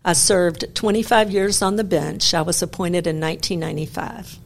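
A woman in her sixties from Memphis, a retired judge telling stories from her career in a measured, wry way. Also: on the low side, gravelly. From Memphis, Tennessee. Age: 60s. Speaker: guest, retired federal judge. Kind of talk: conversational interview.

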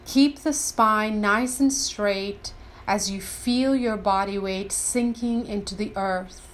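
Female meditation guide, very unhurried, reverent and instructional, scripted delivery, light ambient noise in the recording. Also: mid-range voice.

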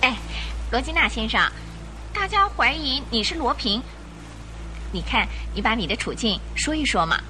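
An actress playing a lively, coquettish young lady passenger in a radio drama. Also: on the high side, moderate pace, slight background noise, poor audio. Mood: concerned.

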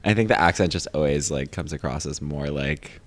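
An American man in his mid-twenties chatting casually and telling things in a joking, animated way. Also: extremely low pitch, fairly quick. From New York state, United States. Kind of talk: casual chat.

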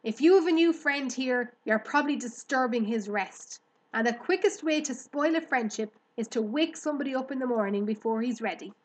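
A scolding old sheep from a children's storybook, played by a woman reader. The voice is loud and somewhat high, impatient and curt, lecturing with open disgust. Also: expressive, a little fast.

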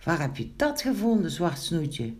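A woman voicing a tiny, excitable male gnome in a children's story, exclaiming and pleading. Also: on the low side, unhurried, pitched above the performer's own voice. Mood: excited.

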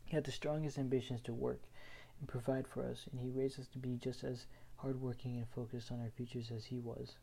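A young man from Houston, Texas, reading his own written personal story aloud in an earnest, measured way. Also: medium pitch, level tone, creaky, dark tone, breathy edge. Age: young adult.

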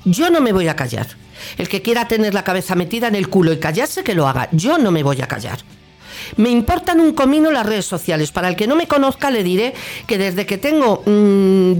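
Animated, combative woman from Spain, Castilian accent. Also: mid-range voice. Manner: combative, indignant, blunt with coarse slang. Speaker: host of a political opinion segment. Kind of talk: opinion monologue.

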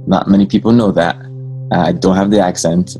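A man's voice: sits low, steady tone, normal speed, warm tone. A man speaking as a podcast guest, telling his personal story. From born in Liverpool, England. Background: music.

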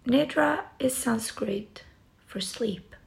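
A woman guiding a yoga nidra relaxation session in a soft, soothing, breathy way, with long pauses between short phrases. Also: on the high side. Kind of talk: guided relaxation meditation.

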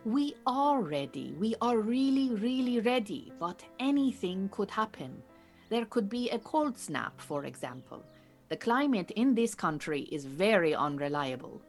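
A woman acting a calm, exacting Iranian refugee beekeeper in an audio drama, speaking in a measured, dry and formal way. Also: medium pitch, faint music behind. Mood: cautioning.

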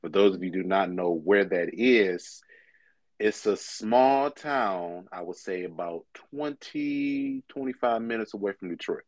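Young male pastor in his twenties, deep low voice, relaxed chatty delivery, dry close recording. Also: a little slow, no echo. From Michigan. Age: 20s. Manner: relaxed, conversational, with frequent fillers. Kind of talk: casual interview conversation.

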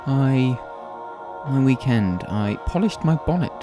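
A man's voice: medium pitch, expressive, measured pace, music in the background. A British man co-hosting a casual show, bantering in a jokey, rambling, self-deprecating way. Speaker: co-host of a chat show about beer and snacks.

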